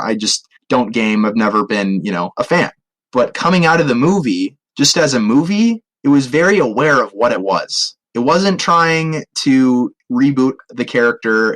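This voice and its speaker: A man's voice: mid-range voice, a bit bright, low-quality recording. A man co-hosting a podcast, chatting casually about his opinions in a hesitant way that often repeats phrases. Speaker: podcast co-host. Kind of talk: casual movie review chat.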